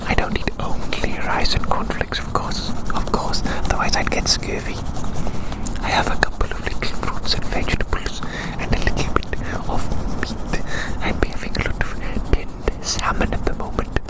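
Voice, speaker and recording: An ASMR host with a British accent, rambling playfully and repeating phrases, close to the microphone. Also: rough recording. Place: outdoors in a windy public spot. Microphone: close microphone with fluffy wind covers. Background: wind.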